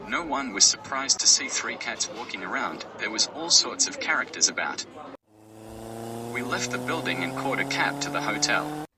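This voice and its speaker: A man's voice: fairly low pitch, far from the mic, poor audio. A man reading a scripted first-person adventure story as its narrator. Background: music.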